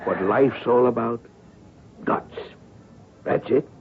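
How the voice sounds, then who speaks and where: A man's voice: mid-range voice, takes it slowly, natural voice. An actor playing a blunt, folksy, devoted father who coaches and nags, in a radio drama. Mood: insistent, rousing. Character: gruff, pushy, devoted father and coach, middle-aged or older. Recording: tinny phone-like old radio broadcast, faint noise.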